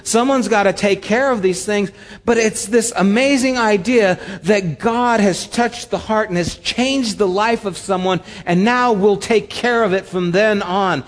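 A pastor giving a conversational sermon with humorous asides. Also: male voice, high-pitched, a little slow, in a large hall.

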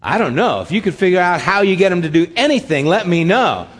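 A pastor giving a conversational sermon with humorous asides. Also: male voice, sits high, sing-song, fairly quick, husky, big-room sound.

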